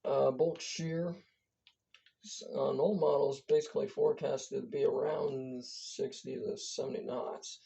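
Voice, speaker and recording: A hoarse speaker whose voice is giving out, giving a casual, rambling weather briefing as an amateur forecaster, stumbling and correcting themselves often. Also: mid-range voice, steady tone, a little slow, warm tone. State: hoarse, losing voice. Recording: dull lo-fi recording.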